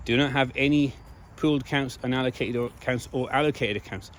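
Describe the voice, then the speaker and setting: A slightly hoarse man speaking in a casual, emphatic monologue to viewers, repeating key phrases for stress. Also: mid-range voice, fairly quick, a little hiss or hum. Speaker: video blogger.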